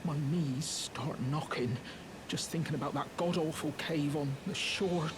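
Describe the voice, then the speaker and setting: A man's voice: a little high, a little slow, background noise, lightly acted. A man voicing a timid, pitiful small outcast creature in a video game, meek, grateful and hesitant. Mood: nervous, earnest. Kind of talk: video game character acting. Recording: music behind the voice.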